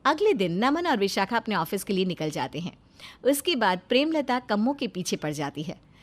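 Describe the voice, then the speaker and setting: A woman's voice: mid-range voice, very animated, normal speed. A woman narrating a scripted moral story as a storyteller.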